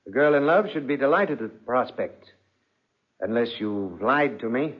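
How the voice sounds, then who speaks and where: Pompous, husky, imperious husband. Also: male voice, medium pitch, expressive, moderate pace. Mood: smug, commanding. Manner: pompous, mock-courteous, probing. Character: imperious, suspicious husband, self-important lord of the house. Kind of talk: 1940s radio acting. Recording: dull, muffled 1940s radio recording.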